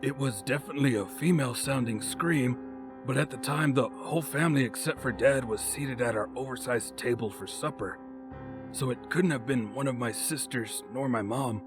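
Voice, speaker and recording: A man playing an elderly storyteller, recalling an eerie night in measured, scripted horror narration. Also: medium pitch, close to an everyday voice. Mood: ominous, reminiscing. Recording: clear music bed under narration.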